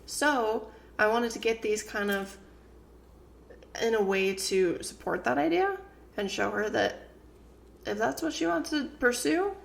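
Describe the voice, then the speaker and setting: A woman's voice: on the high side, measured pace, creaky, long pauses. A woman co-hosting a casual podcast with her partner, a little congested and nasal, rambling with fillers and restarts into a desk microphone. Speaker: co-host of a couple's channel. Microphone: new desk microphones.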